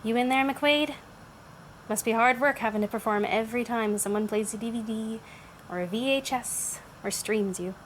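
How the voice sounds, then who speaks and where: A woman's voice: fairly high pitch, normal speed, a little hiss or hum, lightly acted, rough recording. An actress playing a skeptical, uneasy young woman in an audio drama, in a natural, challenging way. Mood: curious, wary. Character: wary, skeptical young woman, increasingly frightened.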